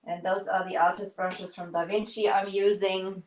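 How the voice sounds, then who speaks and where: A woman from Germany with a German accent, English not her first language, hosting a casual live painting stream, pausing to search for words and greeting viewers. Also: medium pitch, level tone, darkish tone, roomy sound, a little hiss or hum, low-quality recording. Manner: searches for words, restarts often, chats to viewers. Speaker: painting livestream host.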